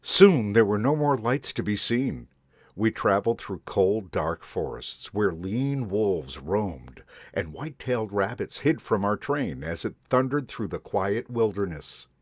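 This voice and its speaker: A man reads a children's story aloud, slow and unhurried, his low voice rising and falling with lively expression. The sound is dry and close, with no room around it. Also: dry recording. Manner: gentle, unhurried, expressive reading. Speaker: storyteller in a Santa persona.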